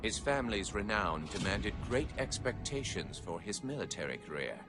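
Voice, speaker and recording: An actor playing a severe, pious alien scribe, narrating video game lore in a formal, ceremonious, foreboding manner. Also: male voice, fairly low pitch, very animated, normal speed, over music. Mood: reverent, grand.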